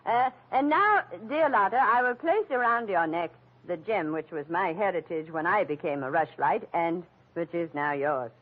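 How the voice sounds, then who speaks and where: A grand old family matriarch in a 1940s radio play. Her voice is high and husky, with grand swoops and a formal, ceremonious manner. The recording is thin and scratchy. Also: lively intonation, normal speed, muffled recording. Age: older. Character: stately elderly family matriarch, formal, turning shrill.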